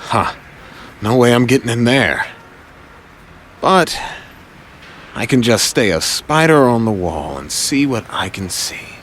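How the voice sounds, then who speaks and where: A young male superhero, as acted by a man, earnest and full of quips. He talks to himself as he works things out, with an animated, swooping delivery. It is audio drama acting with a light layer of noise behind the voice. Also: medium pitch, slow pace, pauses often. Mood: thoughtful. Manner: quippy, talking to himself.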